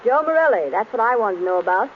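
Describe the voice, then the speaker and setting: Lady playing detective's curious wife in a 30s radio play, crisp and lively, high voice, muffled sound. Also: quick pace, natural voice. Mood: curious, teasing. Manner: crisp, inquisitive. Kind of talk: 1930s radio acting. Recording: dull, noisy old radio recording.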